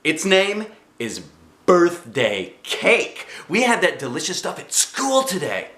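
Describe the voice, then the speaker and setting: A man voicing a self-assured, excitable little kindergarten girl who tells her storybook tale in emphatic childlike grammar. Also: high-pitched, takes it slowly, echoey room, pitched above the performer's own voice, full character voice. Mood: chatty, pleased. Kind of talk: first-person children's storybook reading.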